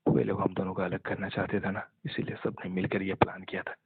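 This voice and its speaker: A young man in an intimate phone conversation, talking in a halting, subdued, resigned way. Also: sits low, little pitch movement, heavy background noise. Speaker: caller talking with an ex-partner. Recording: muffled narrow-band phone call, echoey.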